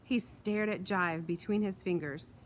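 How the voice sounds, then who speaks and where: A woman reading a children's book aloud in an expressive storytelling style, recorded outdoors. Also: medium pitch, measured pace. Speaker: read-aloud reader. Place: outdoors in wind. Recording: light outdoor wind and ambient noise.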